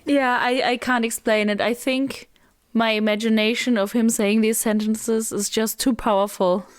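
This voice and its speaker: A woman's voice: on the high side, fairly even intonation, moderate pace, clean tone. A woman co-hosting a casual book podcast, giggly and self-mocking as she gushes. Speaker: podcast co-host. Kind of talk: casual chat.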